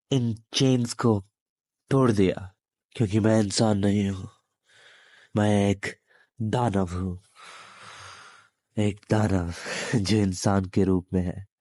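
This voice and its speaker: A menacing demon in human form, voiced by a man, speaks low and very slowly in an intimate roleplay audio. He is possessive and taunting, with deliberate pauses and mock sympathy. The sound is close and dry. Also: warm tone, no echo. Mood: menacing, gloating. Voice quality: deep, smooth.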